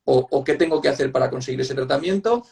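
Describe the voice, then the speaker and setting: A man's voice: mid-range voice, fast talker, vocal fry, a little mellow. A man with an accent from Spain, explaining things conversationally and emphatically as a guest psychotherapist, often checking for agreement. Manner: emphatic, repeats points, seeks agreement with tag questions. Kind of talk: conversational expert explanation in an online interview.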